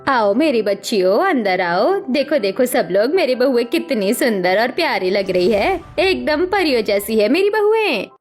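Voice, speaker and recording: A woman plays a middle-aged mother-in-law from a cartoon family drama, two-faced and domineering. Her voice is very high, with soft music behind it. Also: very animated, normal speed, hardly any pauses. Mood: sweet.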